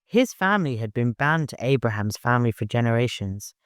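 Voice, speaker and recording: Male audiobook narrator, mid-pitched, scripted literary storytelling with a very dry, close sound. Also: very animated, a little fast, smooth, dry recording.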